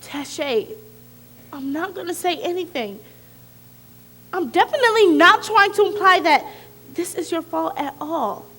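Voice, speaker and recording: A woman's voice: extremely high pitch, fairly bright tone, a little hiss or hum, heavily acted. A woman acting a protective teenage best friend in an emotional stage drama, imploring and emphatic. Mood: hurt, reassuring. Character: earnest, protective teenage best friend, a girl who survived similar hurt. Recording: faint music behind the voice.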